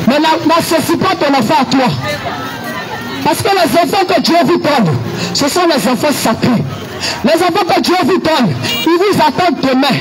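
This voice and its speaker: Ivorian street preacher guy, super high voice, yelling at the crowd, music going in the background. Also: rough recording. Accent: Ivorian French. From Ivory Coast. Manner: shouting, exhortative, repeats refrains. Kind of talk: outdoor evangelistic sermon. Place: outdoors in a town, with a crowd.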